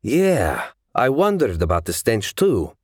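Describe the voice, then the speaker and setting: A man's voice: on the low side, huge pitch swings, slow pace, slightly rough, dry recording, clean studio sound, voice pushed up, exaggerated voice. A man playing a protective, wry, grumbling gunman in an audiobook. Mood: resolute. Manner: grumbling, decisive.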